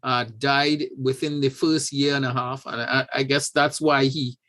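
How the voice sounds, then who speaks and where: A man from Trinidad and Tobago talks as an interview guest, spinning a family anecdote at an easy, unhurried pace. He often circles back and restarts his phrases. His voice sits at an ordinary middle pitch for a man. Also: level tone, a bit bright. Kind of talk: conversational storytelling.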